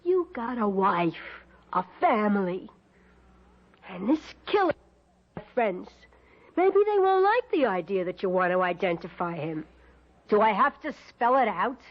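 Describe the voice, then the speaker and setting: An actress playing a blunt, scolding, sarcastic working-class wife in old-time American radio drama. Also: female voice, mid-range voice, big pitch swings, unhurried. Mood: scolding, alarmed. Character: nagging, sharp-tongued, suspicious working-class wife and mother. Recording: dull lo-fi vintage radio.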